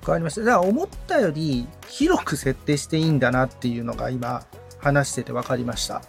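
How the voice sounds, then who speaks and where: Attentive man, lively pitch, music behind. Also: a little fast, creaky. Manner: attentive, frequent murmured agreement, thinks aloud. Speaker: podcast assistant and learner. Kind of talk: casual consultation, asking questions.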